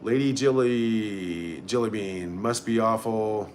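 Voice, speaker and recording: A man's voice: on the low side, slow pace. Blunt man live-streaming over background noise. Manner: blunt, sarcastic, sweary. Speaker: livestream host. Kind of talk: casual live-stream chat, reading out viewer comments and reacting.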